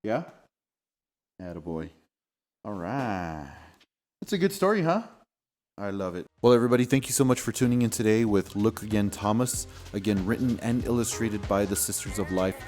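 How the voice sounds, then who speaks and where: A man's voice: fairly low pitch, lively intonation, normal speed, a little mellow, soft background music. A man reading a storybook aloud and chatting with children as their father, with an encouraging, patient manner.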